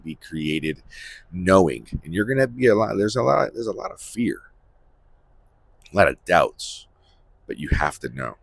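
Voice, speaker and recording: A man with a husky voice giving a rambling, unscripted spiritual monologue, emphatically repeating phrases for stress as he hosts. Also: very deep voice, no echo. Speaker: host of a spiritual series.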